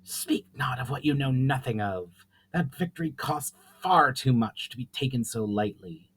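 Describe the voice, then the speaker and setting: A man voicing an ancient, weary sorceress in a storybook reading, speaking slowly and solemnly with deep sorrow. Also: expressive, breathy edge. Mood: grave, pained. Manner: slow, pained, solemn speech.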